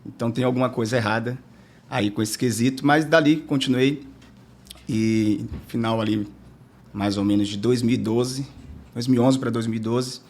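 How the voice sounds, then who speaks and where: A man from Salvador, a guest entrepreneur telling his story in a relaxed, hesitant way. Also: mid-range voice, normal speed. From Salvador, Bahia, Brazil. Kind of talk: storytelling in podcast interview.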